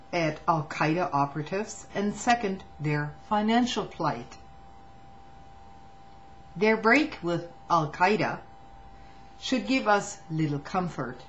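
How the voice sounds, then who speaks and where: A woman reading a written political statement aloud in a measured, deliberate and formal manner. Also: on the low side. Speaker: reader of a testimony. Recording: dull, noisy lo-fi recording.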